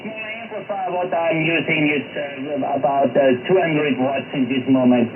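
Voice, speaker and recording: A man's voice: a little high, normal speed, narrow, tinny audio, crowd noise. A man operating an amateur radio, speaking hesitantly and repeating his phrases through a handheld microphone over a shortwave link. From Austria. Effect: shortwave radio transmission. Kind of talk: ham radio contact. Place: radio station at a rural home. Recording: noisy, band-limited shortwave radio signal. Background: radio static.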